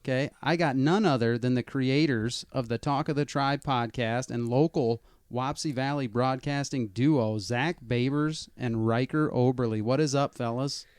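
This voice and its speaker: A man hosting a casual podcast in a small studio, greeting listeners with energetic showmanship. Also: medium pitch, moderate pace, few pauses, dry recording. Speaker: podcast host.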